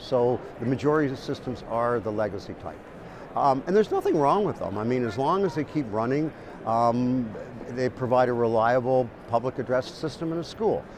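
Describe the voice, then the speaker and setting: A man speaks at a middle pitch, explaining things like an expert in an interview. He is halting and repeats words as he works through each point. Clear background noise runs behind him. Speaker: industry expert being interviewed.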